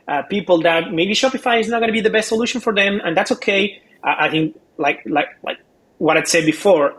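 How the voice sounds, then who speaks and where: Man, fairly high-pitched, casual expert commentary, explanatory, word repetitions. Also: fairly bright tone. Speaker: expert co-host.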